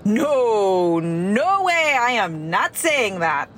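A woman's voice: mid-range voice, very animated, very slow, fairly bright tone, slight background noise, full character voice. A woman playing an exasperated, scornful nun in a comedy audio drama, curt and sarcastic in her delivery. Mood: exasperated, defensive. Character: exasperated, sharp-tongued pregnant young nun, scornful.

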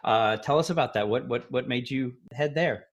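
A man's voice: mid-range voice, normal speed, dull audio. A man hosting a podcast, telling stories and chatting casually with lots of fillers. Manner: relaxed storytelling, chatty with many fillers. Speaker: podcast host.

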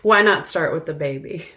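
A woman co-hosting a podcast, talking casually about films and pausing deliberately mid-sentence. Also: low voice, pushed and bright. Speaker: podcast co-host. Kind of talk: casual film discussion.

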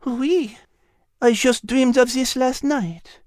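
Narrator voicing a gentle French lady cat, high-pitched. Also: slow pace, dry recording, clean studio sound. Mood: somber. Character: gentle, earnest, refined French female cat.

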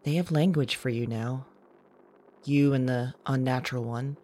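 A woman playing a wry, unsettled woman who records her night thoughts in an intimate audio drama monologue, slow and deliberate. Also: very deep voice, warm tone. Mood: knowing, bitter. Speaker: audio drama writer and performer. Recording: music bed behind voice.

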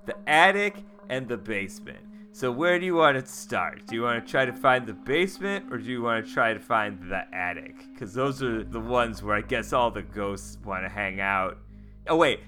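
A man's voice: medium pitch, animated, moderate pace, pushed and bright, faint music behind. A man playing a tabletop game, chatting in a slangy, rambling, playful improvised role-play style.

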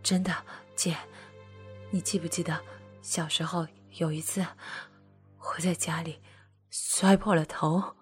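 A woman voicing an eager younger brother, speaking in a breathy, hushed half-whisper. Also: fairly even intonation, slow, soft background music. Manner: low murmuring, half-whispered.

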